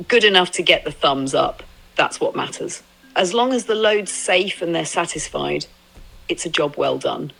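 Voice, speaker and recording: A woman chatting casually as a supportive, upbeat co-driver companion, with a slightly creaky voice. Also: low voice, expressive, normal speed, a bit bright, rough recording. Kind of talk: casual encouraging chat.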